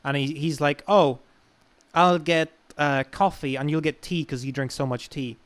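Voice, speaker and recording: A man's voice: a little high, fairly even intonation, normal speed, clear, resonant tone. A young man with an Irish accent telling personal stories in a casual, informal, sweary chat as a podcast guest. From Ireland.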